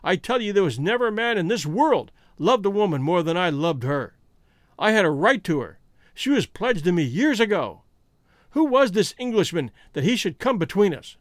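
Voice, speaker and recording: A man's voice: high-pitched, very animated, moderate pace, dry recording, studio quality. A man voicing a fierce, lovesick American criminal in an audiobook story, with a bitter, blustering manner. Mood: defiant despair.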